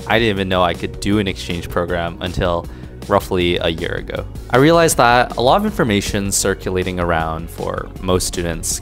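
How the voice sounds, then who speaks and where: Low-voiced young man hosting a vlog. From Canada. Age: young adult. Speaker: host interviewer and student. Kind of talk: vlog narration and street interviewing. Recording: music over the voice. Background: background music.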